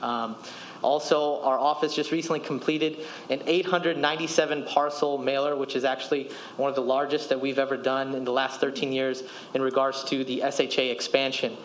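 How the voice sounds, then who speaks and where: A man's voice: mid-range voice, level tone, brisk, a little hiss or hum, poor audio. A man in his thirties, a city department official, giving a businesslike report at a podium microphone in a council chamber. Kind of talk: public presentation at council meeting.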